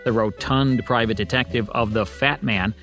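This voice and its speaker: A man's voice: mid-range voice, normal speed, fairly bright tone, faint music behind. A man with an American accent hosting a radio show in scripted, co-hosted commentary.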